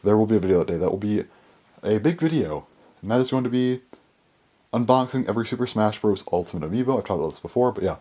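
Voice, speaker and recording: Young guy with a really low voice, kinda hoarse, rambling on with lots of ums from his bedroom. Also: darkish tone. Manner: rambling with many fillers and restarts. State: hoarse, voice worn down. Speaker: toy collector vlogger. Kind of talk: casual rambling update to camera. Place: small bedroom.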